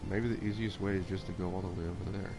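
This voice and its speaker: A man's voice: low-pitched, fairly even intonation, quick pace. A man from Texas and South Florida, casually chatting with viewers while he plays a game. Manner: chatty, talks to chat and coos at pets. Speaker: streamer playing a game. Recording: faint background noise.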